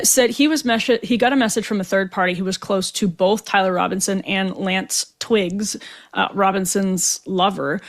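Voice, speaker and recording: A young woman hosting a political commentary show, talking casually with many fillers and restarts. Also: medium pitch. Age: young adult. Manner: conversational, with frequent fillers and restarts. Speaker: host.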